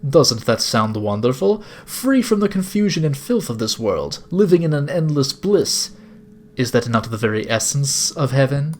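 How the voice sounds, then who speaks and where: A man's voice: medium pitch, very animated, normal speed, a little mellow, natural voice. Elderly game villain, played by a man: serene, grandiose cult lord, foreign accent, unctuous, swooping delivery. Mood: preaching. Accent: put-on foreign accent. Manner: formal, unctuous, preaching. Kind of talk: video game villain monologue.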